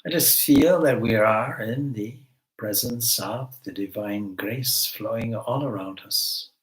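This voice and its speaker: Very slow-speaking man guiding meditation. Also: lowish voice, low-quality recording. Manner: gentle instructional phrasing with long pauses. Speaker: swami leading guided meditation. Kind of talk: guided meditation.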